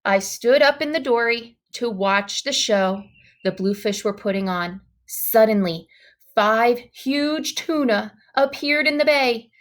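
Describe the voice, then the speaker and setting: High-voiced woman reading a storybook slowly. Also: expressive. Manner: dramatic, with exclamations and emphatic slow words. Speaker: storybook reader. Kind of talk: expressive children's read-aloud narration.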